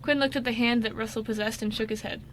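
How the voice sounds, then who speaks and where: High-voiced woman narrating a radio drama. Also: steady tone, fast talker. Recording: faint background noise.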